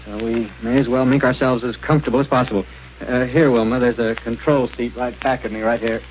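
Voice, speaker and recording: Brief: an actor as a confident young space hero in a vintage radio serial, mid-pitched, dull old recording. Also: male voice, fairly even intonation, fairly quick, a little hiss or hum, lightly acted. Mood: tense. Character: brave young space hero, male pilot. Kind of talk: 1930s radio acting.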